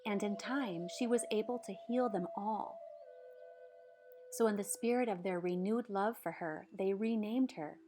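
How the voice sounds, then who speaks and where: A woman narrates a picture book with expressive, measured delivery. Her voice sits at a middle pitch for a woman, with soft music playing behind her. The reading is scripted and shaped as dramatic storytelling.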